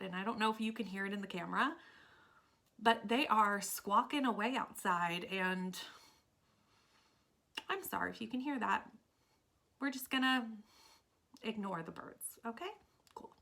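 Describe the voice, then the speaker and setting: A woman's voice: medium pitch, gravelly, fairly bright tone. A woman talking casually and enthusiastically to camera as a book vlogger, with emphatic delivery.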